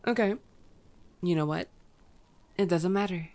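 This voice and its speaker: A woman's voice: fairly low pitch, unhurried, mellow. A woman playing a sardonic, teasing, bloodthirsty female vampire in an ASMR roleplay, drawing out words and sometimes sinking toward a whisper. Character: teasing, unrepentant bloodthirsty female vampire, old yet youthful-sounding.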